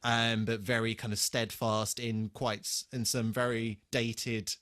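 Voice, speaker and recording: A man co-hosting a podcast in casual banter, halting and correcting himself as he thinks aloud. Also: mid-range voice, flat delivery, a bit bright, no room sound, clean studio sound. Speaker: podcast host. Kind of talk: casual banter between co-hosts.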